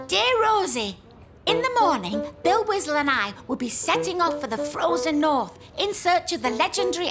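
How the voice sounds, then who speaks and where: An actress playing an eager, practical young village woman in a comedy audio drama, brisk and inquisitive. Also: very high voice.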